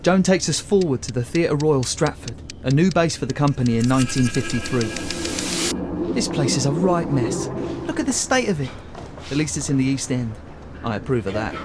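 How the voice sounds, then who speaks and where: An actor playing a newsboy who cries headlines and narrates in a radio drama. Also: male voice, mid-range voice, big pitch swings, moderate pace, background noise, natural voice. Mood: confiding, wry. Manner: declaiming. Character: newsboy crier narrating a theatre company's history. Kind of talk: street news-crier narration in radio drama.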